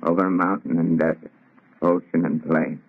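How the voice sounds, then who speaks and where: A man playing a weary, dying old wanderer in an old radio drama, husky and mournful. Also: deep voice, steady tone, moderate pace. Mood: weary, bitter. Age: older. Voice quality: husky, breathy. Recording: muffled lo-fi old radio recording.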